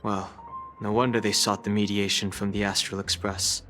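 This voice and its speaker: A man voicing a mature, thoughtful gentleman in a video game, calm, polite and deliberate. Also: on the low side, lightly acted. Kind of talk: scripted game dialogue. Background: faint background music.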